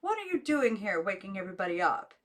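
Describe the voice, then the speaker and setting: A woman's voice: mid-range voice, very animated, fast talker. A woman voicing a stern, angry older aunt who scolds a child in a storybook reading. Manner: sharp, scolding. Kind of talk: audiobook character voice.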